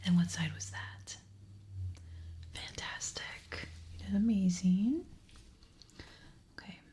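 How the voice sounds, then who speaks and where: A woman whispering softly and breathily in an ASMR medical roleplay, gently giving reassuring step-by-step instructions close to the mic. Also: mid-range voice, takes it slowly. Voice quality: soft, breathy, mostly unvoiced whisper. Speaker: ASMR creator playing a hearing examiner.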